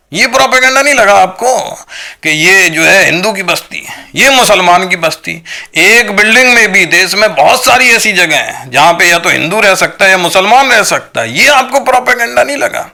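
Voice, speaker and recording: A man's voice: sits high, very animated, moderate pace, vocal fry, bright, forceful tone. An Indian man giving an opinionated monologue to viewers, argumentative and full of rhetorical questions and check-in tags. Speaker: video commentator.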